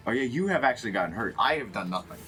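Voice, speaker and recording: Male game player, casual banter, sharp bright tone, lively pitch, light music behind. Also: very fast.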